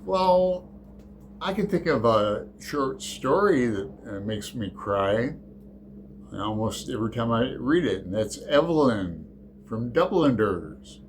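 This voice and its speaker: A man's voice: mid-range voice, lively intonation, slow pace, slight background noise. A man hosting his book channel, chatting through a tag in a rambling, hesitant way with lots of fillers. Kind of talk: casual book tag chat.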